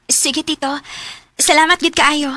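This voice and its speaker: A woman with a Cebuano Filipino accent acting a lovestruck, bold young woman in a radio romance drama, earnest and expressive. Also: high-pitched, unhurried. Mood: shy, polite.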